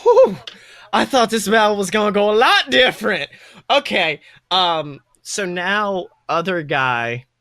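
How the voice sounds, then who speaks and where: A man's voice: high voice, big pitch swings, a little slow, a bit bright, no echo. A young man casually narrating a tabletop game as game master, playful, exclamatory and sweary. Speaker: game master and podcast host.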